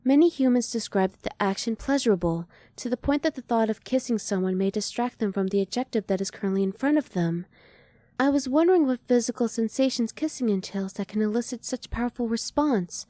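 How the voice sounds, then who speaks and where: Soft, mellow, curious alien woman, acted out by a woman. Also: fairly high pitch, lively intonation, dry recording, lightly acted. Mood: thoughtful, polite. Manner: formal, measured, precise diction. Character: curious, emotionally reserved female alien, polite and analytical. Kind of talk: ASMR roleplay.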